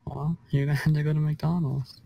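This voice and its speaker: A man's voice: on the high side, level tone, fairly quick, creaky, dark tone. A man in game voice chat, amused and playing along with a prank. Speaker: player in voice chat.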